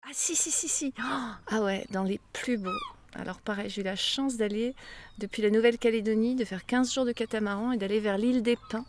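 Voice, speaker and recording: Woman housekeeper reminiscing, higher voice, noisy outdoor recording. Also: brisk, a little mellow. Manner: chatty, reminiscing with hesitations. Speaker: volunteer housekeeper at a sailing base. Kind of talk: casual interview.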